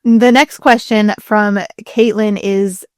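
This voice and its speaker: A woman's voice: medium pitch, fairly even intonation, takes it slowly, dry recording, studio quality. A woman co-hosting a talk show, explaining things in a casual, chatty way. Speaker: podcast co-host.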